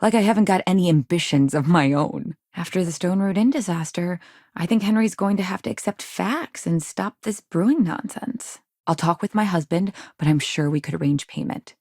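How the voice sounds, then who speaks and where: Low-voiced, ambitious, frustrated frontier wife. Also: female voice, moderate pace, darkish tone, no room sound, studio quality. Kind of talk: video game dialogue acting.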